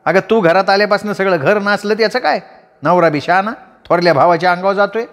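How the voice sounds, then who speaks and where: A man voicing a quarrelsome village woman who scolds in rapid, shrill taunts. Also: in a large hall. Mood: scolding. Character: quarrelsome village woman, sister-in-law Dhabi.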